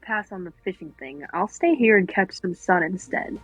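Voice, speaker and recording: Woman playing a husky, sarcastic young girl. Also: lowish voice, expressive, normal speed, no echo, faint music behind, rough recording. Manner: dry, scornful. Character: sarcastic, easily annoyed young girl, bossy cousin. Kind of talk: amateur cartoon script table read.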